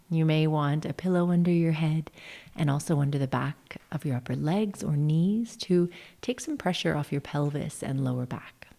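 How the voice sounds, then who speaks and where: Mellow, low-pitched woman guiding meditation. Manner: soothing, gentle, measured, often trailing into breathy whispers. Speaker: yoga nidra guide, session host. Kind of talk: guided meditation narration.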